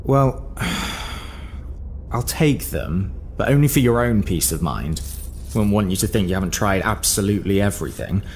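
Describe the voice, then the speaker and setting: An actor playing a powerful, officious gatekeeper in an audio drama, teasing and condescending with mock politeness. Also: male voice, fairly low pitch, animated, moderate pace, a little mellow, a little hiss or hum. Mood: dismissive.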